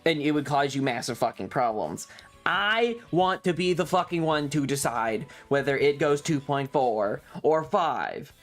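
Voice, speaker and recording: A man's voice: on the high side, lively intonation, unhurried. Man, streamer, casual chat, exasperated, rambling storytelling, heavy swearing, music in background.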